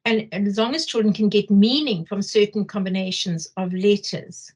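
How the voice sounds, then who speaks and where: A middle-aged woman with a Zimbabwean accent explaining material as a workshop trainer, haltingly, often repeating phrases for emphasis. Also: medium pitch, darkish tone, breathy edge. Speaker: teacher trainer leading a workshop. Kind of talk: explanatory lecture.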